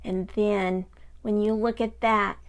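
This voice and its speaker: A woman voicing a sad, tearful little boy puppy puppet who talks in halting bursts. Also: mid-range voice, level tone.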